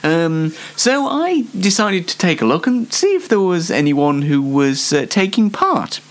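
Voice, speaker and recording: Male host, aged twenty, unhurried and very animated, playfully theatrical, self-deprecating comedic chat. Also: high voice. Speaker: host of a YouTube show. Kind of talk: chatty comedic monologue.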